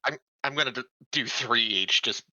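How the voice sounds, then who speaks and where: A man's voice: medium pitch, moderate pace, sharp tone, no room sound, low-quality recording. A man chatting casually as a player in a tabletop game, jokey and often repeating his words as he stammers along. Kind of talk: casual gaming chat.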